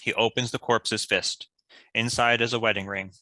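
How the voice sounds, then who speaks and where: A man's voice: lowish voice, level tone, normal speed, sharp tone, dry recording. A man reading stage directions as scripted narration for a screenplay.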